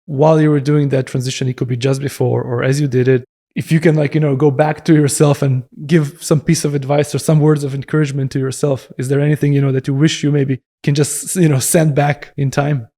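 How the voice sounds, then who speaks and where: A man hosting an interview, asking long, gentle questions padded with hedges and fillers. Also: medium pitch, little pitch movement, fairly quick, hardly any pauses. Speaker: podcast host.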